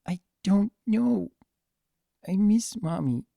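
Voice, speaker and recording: Man doing homesick little colt, higher pitch, earnest, sad, storybook pony. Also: lively intonation, takes it slowly, creaky, warm tone, no echo, studio quality, heavily acted. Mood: tearful. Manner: tearful, small, earnest. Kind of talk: dramatic fan fiction reading.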